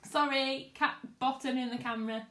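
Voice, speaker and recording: High-voiced British woman, chirpy and sing-song. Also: fairly even intonation, moderate pace, fairly bright tone. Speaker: primary school teacher hosting a livestream storytime. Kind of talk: casual livestream chat greeting viewers. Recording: roomy, echoey room sound.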